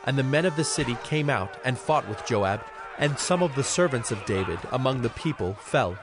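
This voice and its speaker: A man's voice: medium pitch, expressive, normal speed, background noise. A man narrating scripture from a script as part of a dramatized audio production.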